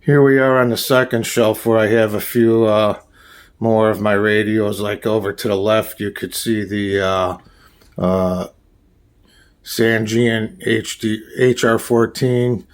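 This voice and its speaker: A man giving a casual, unscripted show-and-tell as a hobbyist, halting often and searching for names as he goes. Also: on the low side, level tone. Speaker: hobbyist showing a radio collection.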